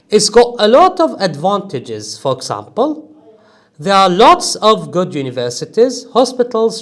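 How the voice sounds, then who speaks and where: A man teaching an English speaking-test class, emphatic and animated, joking with his students. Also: high-pitched. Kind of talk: classroom lecture.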